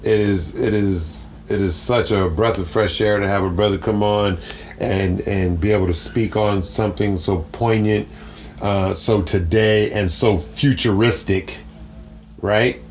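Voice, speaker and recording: A man hosting a casual radio interview in a halting, deliberate, appreciative manner, sometimes repeating words. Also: fairly low pitch, steady tone, a little mellow, a little hiss or hum. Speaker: radio show host.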